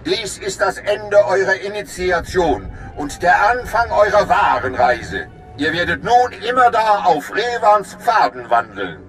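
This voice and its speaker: An actor playing a mysterious master of a secret order in a game, speaking in a grand, ceremonial, commanding manner. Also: male voice, sits high, big pitch swings, unhurried, a bit bright, soft background music, heavily acted. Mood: solemn, ceremonial. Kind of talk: dramatic game voice acting.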